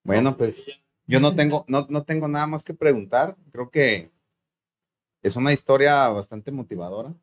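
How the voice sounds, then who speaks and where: A man hosting a casual interview, talking in a relaxed way with lots of fillers. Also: mid-range voice, moderate pace. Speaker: host.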